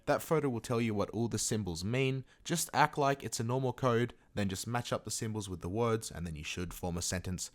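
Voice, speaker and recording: A man's voice: lowish voice, fairly quick, few pauses. A man narrating a video game tutorial in a casual, chatty way, with emphatic, informal asides. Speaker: gaming video creator presenting a guide.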